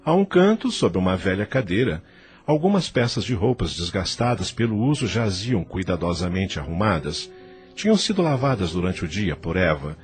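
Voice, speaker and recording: A male narrator reads an audio novel in a low voice. His intonation is animated, rising and falling widely through the scripted prose. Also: normal speed, faint music behind.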